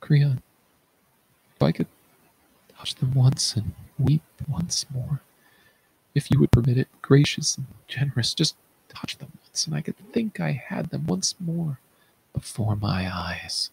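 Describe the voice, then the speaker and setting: A man's voice: medium pitch, expressive, a little slow, vocal fry, pauses often, a little mellow, slightly rough, pitched above the performer's own voice, heavily acted, rough recording. A man playing a blinded fallen king, an anguished and tender father, in a theatrical reading of Greek tragedy. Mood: tender pleading. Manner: theatrical, grief-laden declaiming. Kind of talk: read-aloud Greek tragedy.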